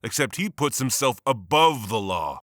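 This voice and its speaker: A man's voice: medium pitch, very animated, moderate pace, a bit bright, no echo, studio quality. A man playing a driven, bitter district attorney who speaks sardonically and heatedly. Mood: sardonic.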